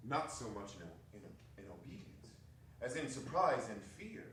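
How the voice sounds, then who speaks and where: A man's voice: mid-range voice, big pitch swings, moderate pace, fairly bright tone. A man narrating a ghost story expressively in a dramatic stage reading. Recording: echoey hall from far off, noisy.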